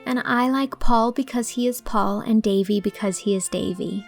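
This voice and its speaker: A woman voicing a gentle young woman teacher, tender, earnest and reassuring, in an audiobook drama. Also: a little high, moderate pace, creaky, faint music behind. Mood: cheerful, approving.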